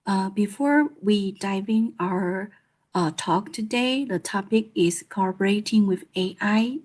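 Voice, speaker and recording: Woman librarian with a Taiwanese Mandarin accent, Mandarin-native, mid-pitched, very slow, halting delivery full of fillers. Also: darkish tone. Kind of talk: conference presentation.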